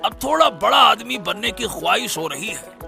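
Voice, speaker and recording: A man playing a discontented, scheming boatman in a cartoon story, with a gravelly voice and exclamatory grumbling. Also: high voice, animated, moderate pace, bright, forceful tone, faint music behind, exaggerated voice. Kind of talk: Hindi cartoon story dialogue.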